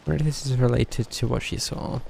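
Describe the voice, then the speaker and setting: A man's voice: mid-range voice, fairly even intonation, brisk, gravelly, warm tone, a little hiss or hum. A man giving casual, offhand commentary as he streams a video game, in short muttered asides. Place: livestream with game audio behind.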